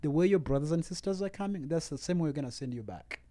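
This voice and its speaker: A man's voice: on the high side, expressive, fast talker, dark tone. A man of about forty from Uganda with an East African accent, telling his own story emphatically as a podcast guest. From Uganda, Rwandan refugee family. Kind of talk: personal testimony in an interview.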